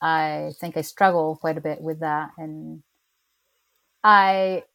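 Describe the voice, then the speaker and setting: A woman's voice: low voice, steady tone, slow, gravelly, a bit bright. A woman from Chile with a strong Chilean Spanish accent, Spanish being her first language, telling stories in a chatty, casual conversation. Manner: chatty storyteller, restarts and laughs. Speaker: interviewee.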